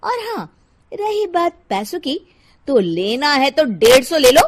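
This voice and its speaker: A woman's voice: high voice, very animated, a little slow. A woman voicing a rude, stingy housewife in a cartoon story, scolding bluntly and haughtily. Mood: haggling, angry.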